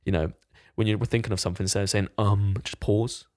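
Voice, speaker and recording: Fast-talking man, low voice. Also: steady tone, a little mellow. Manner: fast, rambling, swears casually. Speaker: podcast co-host, fitness coach. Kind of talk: casual podcast chat.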